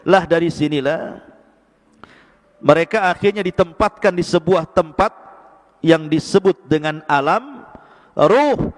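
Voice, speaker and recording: Guy preaching, high voice, lots of reverb, swings up high then drops low and slow for recitation. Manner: animated, swings between high emphatic lines and low slow Arabic recitation. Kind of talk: sermon to a congregation. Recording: echoey hall.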